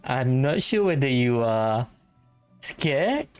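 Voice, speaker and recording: A man's voice: mid-range voice, big pitch swings, slow pace, darkish tone, clean tone, soft background music. A man giving casual gameplay commentary, musing aloud in a chatty way to his viewers. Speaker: gaming streamer.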